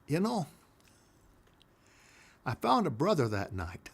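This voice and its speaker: An older man telling a personal story from his past, emphatic, swinging between loud declarations and hushed asides. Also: on the high side, wildly swooping pitch, takes it slowly, gravelly, breathy edge.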